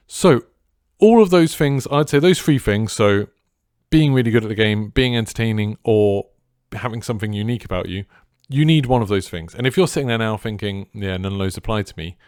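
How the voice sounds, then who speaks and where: A man with a deep, clear voice, giving casual advice to viewers as a streamer in a chilled, laid-back way. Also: a little mellow, no room sound, clean studio sound.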